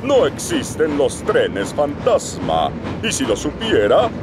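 Pompous big cartoon locomotive, Latin American Spanish, scoffing, drawn-out, swooping delivery. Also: male voice, on the high side, huge pitch swings, very noisy, full character voice. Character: pompous, boastful big male express engine. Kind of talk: cartoon dub acting. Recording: music behind the voice.

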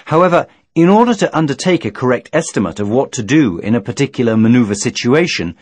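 A man reading scripted instructional narration in a measured, formal, didactic manner. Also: medium pitch, sing-song, darkish tone, no room sound. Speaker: training video narrator.

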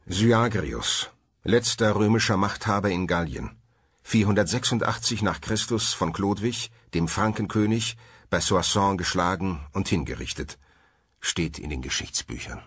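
A man reading scripted documentary narration in a measured, formal manner. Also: low-pitched, a bit bright, husky.

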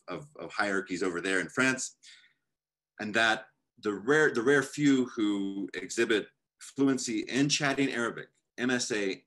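Low-voiced man on a video call. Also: bright, forceful tone. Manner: thoughtful, reads from his draft with gestures. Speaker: panelist. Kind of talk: academic panel talk. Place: home room, indoors.

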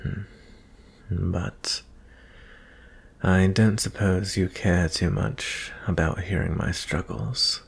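A man playing a gentle, weary young prince in a fantasy ASMR roleplay, speaking softly and intimately in a breathy, husky voice with frequent pauses. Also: sits low, fairly even intonation, full character voice. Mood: gentle concern. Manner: slow, hushed, pausing often.

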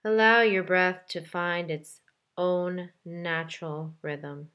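A woman leading a guided meditation in a calm, gentle, measured way with deliberate pauses between phrases. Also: on the low side, muffled recording. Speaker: meditation guide, yoga teacher. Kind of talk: guided meditation, soft instructional delivery.

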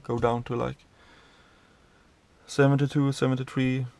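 A man in his thirties from Sweden, a truck driver giving a casual tour of his cab, explaining the controls in a halting way with many fillers and restarts. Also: mid-range voice, little pitch movement, gravelly, a little mellow.

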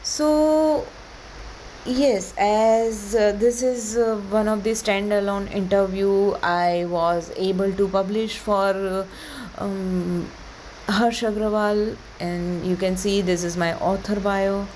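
A woman in her thirties with an Indian accent, giving a prepared slide presentation in a halting way with frequent hesitations. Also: mid-range voice, steady tone, darkish tone, slight background noise, poor audio. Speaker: conference presenter, digital agency owner.

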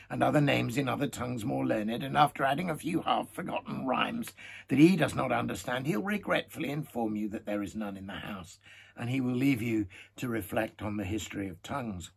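A man reading aloud and voicing a stern, lofty ranger-king who scolds at length, in a raised, louder raspy voice. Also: medium pitch, pitched above the performer's own voice. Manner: lofty, scolding, long rolling sentences. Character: stern commanding man, a kingly ranger lecturing.

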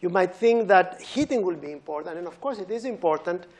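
A middle-aged man from Greece with a Greek accent, giving a relaxed university seminar lecture while pacing an auditorium stage. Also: high-pitched, brisk. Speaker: professor giving a seminar talk.

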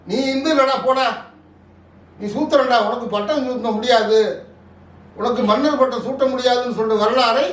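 A man with a Tamil Nadu accent giving impassioned political commentary in an interview, forceful and oratorical, full of rhetorical questions. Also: very high voice. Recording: echoey room, distant microphone.

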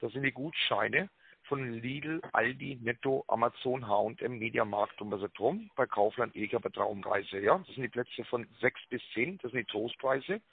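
A man giving a rehearsed telephone sales pitch, full of tag words and hesitations, with a raspy voice. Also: normal speed. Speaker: telemarketing caller pitching a prize draw. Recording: narrow tinny phone line.

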